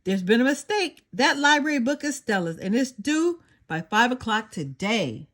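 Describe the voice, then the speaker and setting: Storybook role: woman acting a helpful young mother, high, lively and emphatic. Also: normal speed. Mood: urgent calling.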